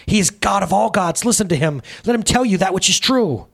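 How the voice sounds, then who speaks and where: A man's voice: high-pitched, animated, a little fast, fairly bright tone, pitched above the performer's own voice, heavily acted. A man voicing an arrogant female spirit, a doting mother who summons and gloats in a screeching, dramatic storytelling manner. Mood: proud.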